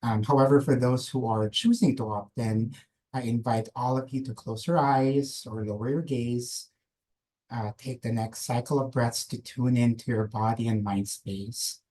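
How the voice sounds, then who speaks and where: A man whose first language is Filipino, presenting as a nurse practitioner on a video-call webinar, speaking slowly and gently as he leads a grounding exercise. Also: mid-range voice, fairly even intonation, rough recording. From Philippines. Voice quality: slow, measured, gentle invitational delivery. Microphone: computer mic on a video call.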